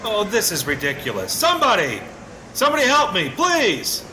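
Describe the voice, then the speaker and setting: A man acting in an audio play as an anxious, indecisive everyman customer with a halting, uncertain delivery. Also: high voice, wildly swooping pitch, sharp tone, exaggerated voice. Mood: desperate. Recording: clearly noisy background.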